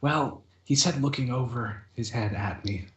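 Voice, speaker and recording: A man's voice: medium pitch, normal speed. A man playing a tabletop game, joking around in casual banter. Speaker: player. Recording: roomy, faint background noise.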